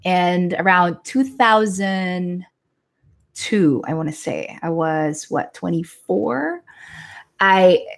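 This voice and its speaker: Yoga teacher lady, 40s, lower voice, chatty and frank, live stream talk. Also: takes it slowly, gravelly. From Philippines. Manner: chatty, frank, restarts sentences, laughs lightly.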